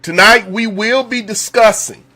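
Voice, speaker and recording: A man with a slightly hoarse voice hosts a casual live call-in show from his home. He speaks slowly in a fairly high voice. He tends to repeat his questions for emphasis. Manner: relaxed, chatty, repeats questions for effect. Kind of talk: casual live-stream talk.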